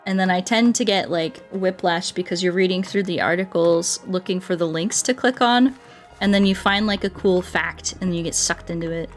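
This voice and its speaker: A woman's voice: fairly low pitch, moderate pace. Female game streamer, rambling and playful, casual chat delivery over background music. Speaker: game livestreamer. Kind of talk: casual livestream chat while playing.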